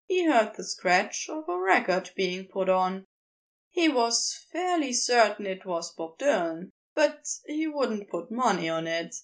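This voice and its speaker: A woman's voice: medium pitch, very animated, a little slow. A woman narrating scripted fiction as a storyteller.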